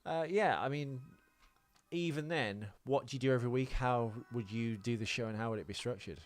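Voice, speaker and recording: British male podcast co-host, mid-pitched voice, rambling, hesitant, self-deprecating, casual banter. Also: a little fast, soft background music.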